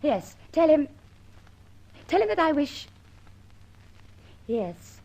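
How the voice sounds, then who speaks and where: A woman's voice: sits high, sing-song, slow pace, vocal fry, warm tone, heavily acted. An actress playing a spirited, tart young lady captive, prim in manner, in an old-time radio serial. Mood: indignant, softening. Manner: prim, tartly polite.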